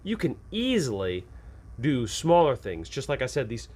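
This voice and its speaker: A man's voice: mid-range voice, normal speed. A man hosting a tabletop game advice show, talking casually and with enthusiasm, slipping into improvised scene descriptions in colloquial, folksy phrasing. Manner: enthusiastic, animated when acting out examples.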